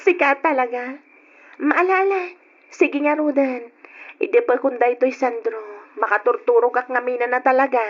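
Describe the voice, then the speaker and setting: High-pitched coy young woman. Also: measured pace. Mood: teasing affection. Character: young nursing student, coy, playful, dutiful daughter. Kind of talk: old radio drama acting. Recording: noisy, dull old radio drama.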